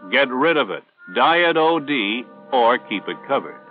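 An American man reading scripted instructional narration for a 1960s military training film in a measured, authoritative way. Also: mid-range voice, very animated, faint music behind. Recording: tinny band-limited 1960s film soundtrack.

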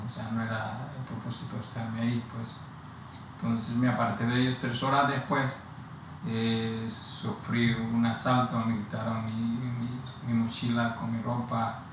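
A man in his thirties from Honduras, speaking with a Honduran Spanish accent, giving a personal testimony slowly and haltingly, with many restarts. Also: medium pitch. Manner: slow, halting, with frequent restarts and repeated words. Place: plain indoor room against a bare wall. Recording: very echoey room, distant mic, audible hiss.

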